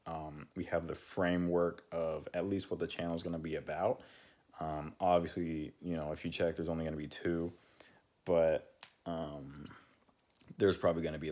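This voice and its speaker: A young man with a deep voice, talking casually to his channel's viewers and rambling with many fillers and restarts. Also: dark tone. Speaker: channel co-host. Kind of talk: casual talk to camera.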